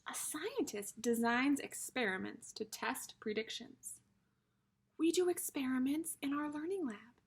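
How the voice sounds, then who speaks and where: A woman's voice: sits high, lively intonation, unhurried, slightly rough. A woman teacher reading a picture book aloud to young children, explaining things simply and speaking to them directly as friends.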